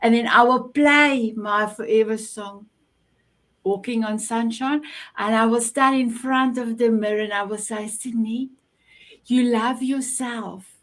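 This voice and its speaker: South african lady hosting, afrikaans first language, gushy, bit high voice, video call audio. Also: steady tone, measured pace, fairly bright tone. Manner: effusive, gushing with praise. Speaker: show host. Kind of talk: online show chat.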